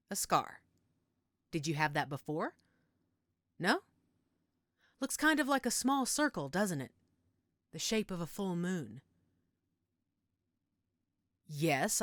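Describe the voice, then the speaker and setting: Woman acting a frank, caring werewolf, low dry voice. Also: no echo. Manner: matter-of-fact, reassuring, wry. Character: frank, caring female werewolf tending a frightened newcomer. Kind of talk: audio roleplay drama, one-sided conversation.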